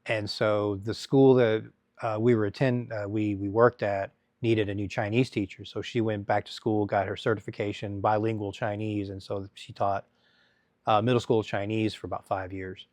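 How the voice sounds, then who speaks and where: A middle-aged man speaks in a somewhat low voice, telling his story in a halting, rambling way full of restarts and fillers. The sound is dry and close, with no room echo. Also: level tone, a little mellow. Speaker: guest. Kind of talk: conversational interview storytelling.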